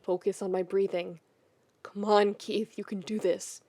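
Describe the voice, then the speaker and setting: A woman acts out a calm, tender man in an audio story. He speaks slowly, hushed and breathy, gently coaxing a friend to settle. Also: medium pitch, dry recording. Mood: soothing.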